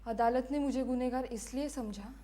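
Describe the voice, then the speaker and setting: A woman playing a defiant, bitter condemned prisoner giving her last testimony in a deliberate, impassioned dramatic monologue. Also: sits high, mellow, lightly acted. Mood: composed, grave. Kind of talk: dramatic film-style monologue.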